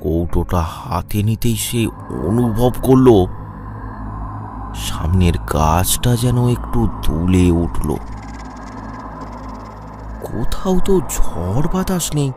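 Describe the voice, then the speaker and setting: A man narrating a suspenseful animated horror story in a dramatic storytelling way. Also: medium pitch, takes it slowly, long pauses, noisy background. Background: background music.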